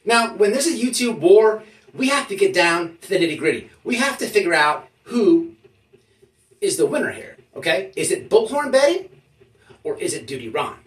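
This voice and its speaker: Roomy, animated YouTube host with swooping pitch. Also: normal speed. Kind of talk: mock-serious reaction commentary. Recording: roomy echo, distant from the mic.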